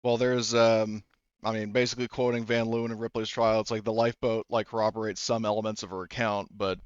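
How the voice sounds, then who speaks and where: Low, smooth-voiced man running a game. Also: little pitch movement, no echo, dull audio. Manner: rambling, explanatory, halting. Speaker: game master. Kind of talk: casual tabletop game narration.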